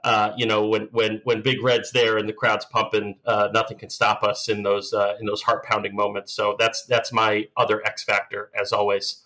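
A man's voice: lowish voice, completely flat delivery, moderate pace, few pauses, bright, forceful tone, poor audio. A man in his early thirties, an upbeat panelist chatting casually as a sports fan. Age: 30s. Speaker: podcast panelist.

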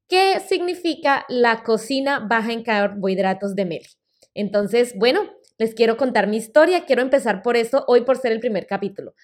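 A woman in her thirties with a Colombian accent, chatting casually as she tells her own story on her solo podcast. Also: on the high side. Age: 30s. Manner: chatty and personal, uses fillers like "eh" and "pues". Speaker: podcast host.

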